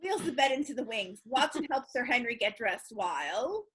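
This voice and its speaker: A woman reads stage directions as the narrator of a comic amateur play. Her voice is very high, with a bright, forward edge. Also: sing-song, normal speed, slightly rough.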